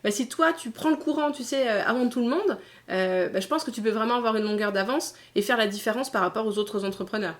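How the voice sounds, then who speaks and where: A woman's voice: a little high, fast, hardly any pauses. A woman hosting a casual interview, asking questions and encouraging her guest with frequent agreement. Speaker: interviewer, host.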